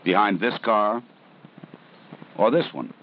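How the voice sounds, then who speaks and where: A man with a low voice gives measured, serious narration for a 1970s instructional film. The soundtrack is dull and lo-fi, with a faint hiss behind him. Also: animated. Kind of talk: scripted documentary narration.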